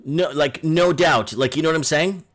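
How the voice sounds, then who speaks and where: A man with a fairly high voice hosts a casual livestream. He rambles, repeats phrases and talks straight to his viewers in a chatty, informal way. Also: moderate pace. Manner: rambling, repetitive, addresses viewers as guys. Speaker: livestream host. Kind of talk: casual live call-in chat.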